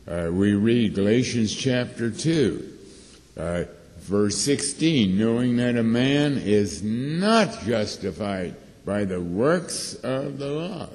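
An elderly man teaching a Bible study from a lectern, reading scripture slowly and deliberately. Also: fairly low pitch, mellow. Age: older. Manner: slow, deliberate, reads scripture aloud with pauses. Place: church hall with red curtain, at a lectern. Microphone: lectern microphone. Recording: reverberant hall.

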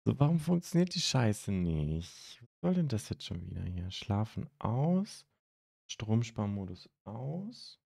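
A young man from Germany hosting a live stream, chatting casually and haltingly as he answers viewer comments into a close microphone. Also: on the low side, big pitch swings, gravelly, darkish tone, slightly rough, no room sound. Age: young adult. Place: indoor streaming room at home. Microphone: close microphone on a stand.

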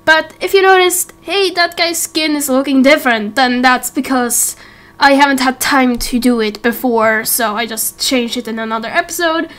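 A woman's voice: high voice, normal speed, a bit bright. A young woman in her late teens giving a casual, rambling update to viewers as a series host, in a giggly, self-interrupting way. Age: late teens, young adult. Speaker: YouTube roleplay series creator and host.

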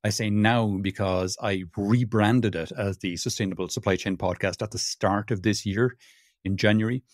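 A man's voice: lowish voice, steady tone, moderate pace, no echo, clean studio sound. A man from Cork with an Irish accent, a guest chatting casually and self-deprecatingly, often restarting his sentences. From Ireland. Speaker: podcast guest, sustainability consultant. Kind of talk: casual interview talk.

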